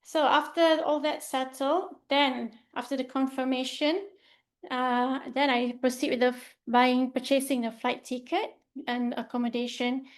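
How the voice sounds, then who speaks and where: A young woman from Malaysia, a medical trainee, presenting a report in a casual, loose way with fillers, talking from slides. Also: high-pitched. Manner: informal, loose phrasing with fillers, speaks from slides.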